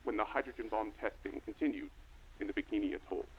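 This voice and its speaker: A man's voice: on the low side, wildly swooping pitch, quick pace, a crowd in the background. A man playing a grave, earnest documentary guide, narrating formally and portentously from a script in a vintage style. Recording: dull lo-fi audio with slight noise.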